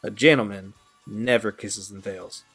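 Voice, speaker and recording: A man's voice: lowish voice, steady tone, measured pace, a bit bright, faint music behind. A man playing an easygoing, wry young guitarist friend, sheepish but good-humoured, in an audio drama. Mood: wry, exasperated. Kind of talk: amateur audio drama acting.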